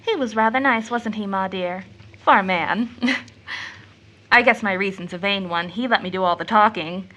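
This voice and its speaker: A woman's voice: medium pitch, moderate pace, sharp tone, natural voice. An actress playing an eager, tender-hearted teenage schoolgirl in an audio drama, chatty, girlish and earnest. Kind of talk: stage-play audio drama acting.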